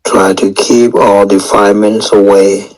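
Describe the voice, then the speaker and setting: Slow, low-voiced man guiding meditation. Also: little pitch movement, rough recording. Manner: unhurried, gently repetitive, with long pauses. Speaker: meditation teacher. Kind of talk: guided meditation instruction.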